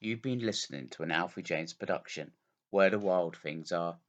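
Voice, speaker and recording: A man's voice: low-pitched, moderate pace, highs cut off, over loud music. A man reading scripted closing credits as an announcer. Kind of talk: scripted narration.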